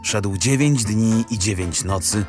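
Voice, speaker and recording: A man narrating a fairy tale from a script in an expressive, dramatic storytelling manner. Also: on the low side, measured pace. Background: music.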